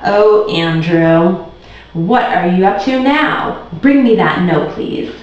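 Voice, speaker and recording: A woman's voice: on the low side, lively intonation, slow pace. A woman voicing an orderly, weary but kind schoolteacher in a children's storybook. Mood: exasperated. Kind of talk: children's storybook read aloud. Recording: very echoey room, distant muffled mic.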